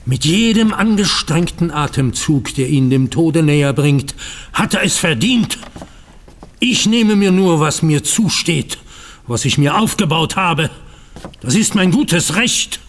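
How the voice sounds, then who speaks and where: An actor in a German audio drama playing a vengeful, self-righteous banker, speaking formally and bitterly. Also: male voice, on the high side, expressive, measured pace. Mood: bitter, defiant.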